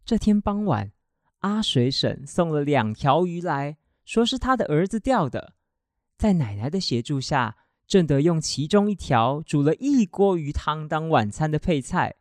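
A man's voice: on the high side, big pitch swings, unhurried, dry recording, studio quality. A man narrating a children's radio drama in scripted storytelling style.

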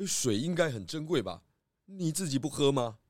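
A man's voice: mid-range voice, very animated, moderate pace, no echo, studio quality, natural voice. A man playing a polite, composed pig police detective in a children's audio drama. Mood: considerate.

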